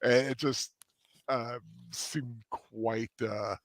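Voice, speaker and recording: A man co-hosting a casual review chat, tired and sore, speaking haltingly with many restarts. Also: mid-range voice, fairly even intonation, no echo. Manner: halting, many restarts and fillers, laughs easily. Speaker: co-host.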